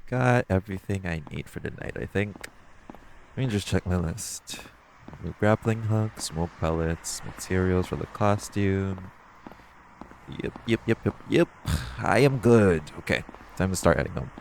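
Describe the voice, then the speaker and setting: A man's voice: low-pitched, unhurried, gravelly, darkish tone, a little hiss or hum. A man playing a flirty, cocky supervillain thief in an audio roleplay, teasing the listener with mock politeness. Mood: quiet, musing. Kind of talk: theatrical audio roleplay, one-sided dialogue to the listener.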